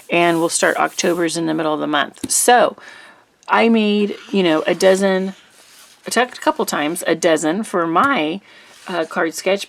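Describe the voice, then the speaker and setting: A middle-aged woman hosting a crafting channel, chatting casually to camera in a rambling way with little laughs and ums, her voice slightly rough from allergies. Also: fairly low pitch.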